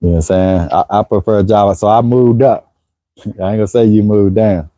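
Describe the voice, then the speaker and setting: Laid-back man in his forties, mellow voice. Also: fairly low pitch, fairly even intonation, moderate pace, no room sound. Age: 40s. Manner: laid-back, conversational, frequent "you know what I'm saying". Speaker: HVAC business owner hosting a livestream. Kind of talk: casual livestream chat answering viewers. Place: Houston area.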